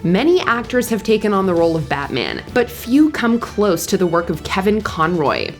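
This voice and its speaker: A woman's voice: medium pitch, big pitch swings, normal speed. A woman reading a scripted top ten countdown as narrator, with music behind her. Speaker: narrator and host of a countdown video. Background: background music.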